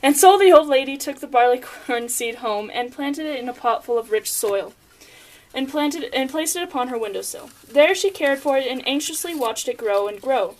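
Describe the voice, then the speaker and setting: Young woman reading a fairy tale script, pretty high voice, trips on words sometimes. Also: lively intonation, normal speed, low-quality recording. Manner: stumbles over words and giggles. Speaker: puppet show narrator. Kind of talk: scripted storybook narration.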